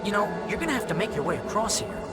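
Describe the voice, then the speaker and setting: A brash, wisecracking buddy in a video game. He is slangy and sarcastic, swinging widely in pitch over loud music. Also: big pitch swings, fast. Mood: teasing. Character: wisecracking imaginary best pal, brash, teasing, needling man. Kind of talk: comic game dialogue.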